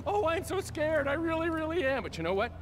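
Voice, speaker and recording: An actor playing a smug, theatrical rich boss in a video game cutscene, mocking, flamboyant and foul-mouthed. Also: male voice, sits high, sing-song, normal speed, fairly bright tone, a little hiss or hum.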